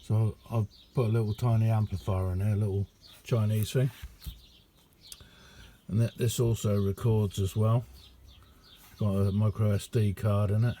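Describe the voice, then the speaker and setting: A middle-aged man with a lowish, very mellow voice talks casually through a hobby demonstration. His delivery is unhurried and halting, with colloquial phrasing. He is recorded indoors with some faint noise behind him. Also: steady tone. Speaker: radio hobbyist showing a homemade receiver. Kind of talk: casual hands-on demonstration vlog. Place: indoor hobby radio room.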